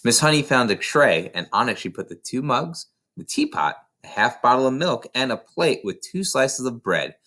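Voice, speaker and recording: Man reading a storybook with lively intonation. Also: medium pitch, moderate pace, few pauses. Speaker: narrator, reader of a children's novel. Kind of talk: storybook read aloud.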